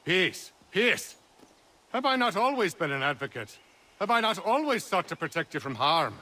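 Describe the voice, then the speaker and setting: An actor playing a scheming colonial official, a persuasive orator with a threatening edge, in a video game drama. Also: male voice, high-pitched, very animated, moderate pace, bright, forceful tone, reverberant, heavily acted. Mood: pleading.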